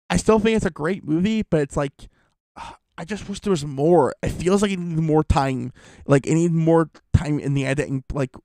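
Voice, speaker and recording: A man's voice: on the high side, darkish tone, no room sound. A man giving a casual, rambling film review, full of fillers and restarts.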